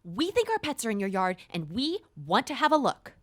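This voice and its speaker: A woman's voice: huge pitch swings, brisk, a bit bright, exaggerated voice. A woman playing a frantic, accusing neighbor searching for her lost pet in an audio drama. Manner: shrill, insistent, accusing.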